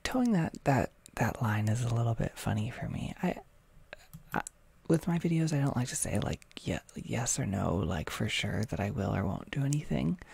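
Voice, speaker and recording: Soft, breathy, creaky young American voice. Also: medium pitch, animated, moderate pace. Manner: soft-spoken, hesitant, rambling with self-deprecating asides. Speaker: ASMR audio creator livestreaming. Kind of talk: casual livestream chat, reading and answering viewer comments.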